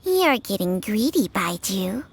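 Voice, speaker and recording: A woman's voice: mid-range voice, sing-song, a little slow, a little hiss or hum. An actress voicing an ancient, world-weary female snake spirit in a video game, with a wry, knowing, drawling delivery. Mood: wry, questioning. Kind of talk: scripted video game dialogue.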